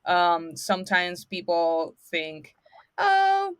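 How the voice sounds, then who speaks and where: A woman's voice: on the low side, sing-song, extremely slow, a bit bright, no echo. Woman host, enthusiastic, laughs often, dry close sound. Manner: enthusiastic, laughs often, non-native phrasing. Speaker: podcast host. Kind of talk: casual interview hosting.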